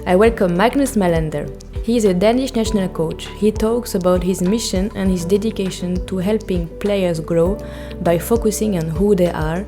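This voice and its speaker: A woman's voice: mid-range voice, dark tone. A woman hosting a podcast, who introduces her guest and asks interview questions in a light, friendly manner.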